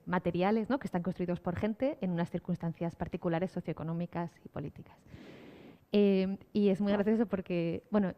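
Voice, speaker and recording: A woman from Spain answering informally as a panelist, digressive and wry, often interrupting herself. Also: on the low side. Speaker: podcast creator and cultural studies lecturer, panelist. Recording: reverberant hall, slightly dull sound.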